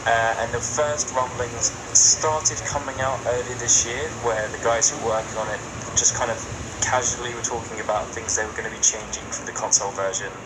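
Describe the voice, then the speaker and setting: A man with a mid-range voice chats casually as a podcast host discussing games. The recording is very noisy, with a loud hum under his voice. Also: mid-range voice, steady tone, brisk.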